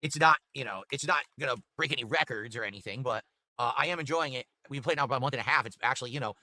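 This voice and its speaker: A man's voice: medium pitch, very fast. A man chatting casually on his stream, thanking viewers for tips and talking about his week. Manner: chatty, reads donations aloud, relaxed. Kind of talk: casual livestream chat.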